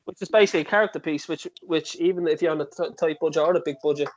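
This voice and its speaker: A woman's voice: sits low, very fast, highs cut off. A woman in casual chat, giving her comments as a cast member after a read.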